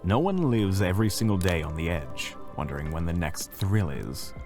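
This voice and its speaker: A man's voice: deep voice, lively intonation, moderate pace, over music. A man playing a world-weary, blunt adventurer in an audio roleplay. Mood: wry, matter-of-fact.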